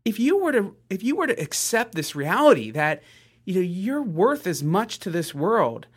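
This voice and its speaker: Earnest man with a lively, swooping voice. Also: sits high, husky. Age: 30s. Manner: earnest, halting, many fillers and restarts. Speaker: podcast co-host. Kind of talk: casual motivational podcast chat.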